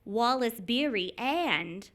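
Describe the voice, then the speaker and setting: A woman's voice: on the high side, lively intonation, slow, a bit bright. A woman playing a scatterbrained, cheerful wife turned amateur detective in the style of 1940s radio comedy, earnest and confidently illogical. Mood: proud, earnest.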